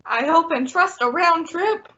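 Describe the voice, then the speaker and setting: A woman playing a loyal, wisecracking male cab driver in old-time radio style, excitable and folksy. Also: very high-pitched, measured pace, full character voice. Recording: dull lo-fi audio.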